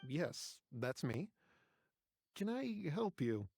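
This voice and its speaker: An actor playing a melancholy, self-reproaching scholar in a video game, subdued, wistful and reflective. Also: male voice, fairly high pitch, sing-song, very slow, vocal fry, darkish tone, slight background noise, exaggerated voice. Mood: reserved. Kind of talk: dramatic game voice acting.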